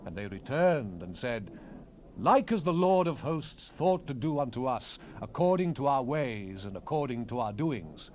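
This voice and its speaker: A man's voice: mid-range voice, very animated, a little slow, a little mellow. A man acting a fervent Bible prophet in a dramatized King James reading, solemn and declamatory, with archaic phrasing. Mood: stern, admonishing. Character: fervent male Bible prophet proclaiming visions and God's words. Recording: noisy unprocessed 1970s cassette rip.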